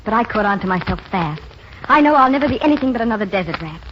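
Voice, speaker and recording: Woman playing a quick-talking lovelorn young girl. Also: on the high side, expressive, natural voice. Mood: wistful, bitter. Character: frank, lovelorn young small-town desert girl. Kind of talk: 1940s radio acting. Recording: dull, faintly noisy 1940s radio recording.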